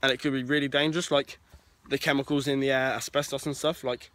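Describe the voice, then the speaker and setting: A man's voice: mid-range voice, little pitch movement, quick pace, a bit bright. A young man talks chattily to his viewers outdoors in the woods, picked up by a friend's handheld phone. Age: young adult. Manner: chatty, addresses viewers directly, nonstandard grammar. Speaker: vlogger. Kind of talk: casual walking vlog.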